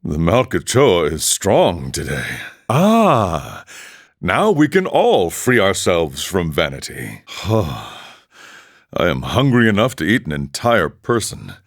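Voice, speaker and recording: An actor playing a serene, eccentric ascetic monk in a video game, speaking in a measured, philosophical way with formal phrasing. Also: male voice, low voice, huge pitch swings, exaggerated voice. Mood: wry, musing. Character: serene, eccentric ascetic drug-taking monk who relishes suffering. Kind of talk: scripted game character dialogue.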